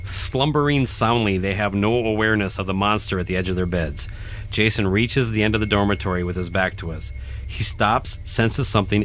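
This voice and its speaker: A man reading scripted horror narration in a dramatic, deliberate storytelling manner. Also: lowish voice, fairly bright tone. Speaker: narrator, podcast host.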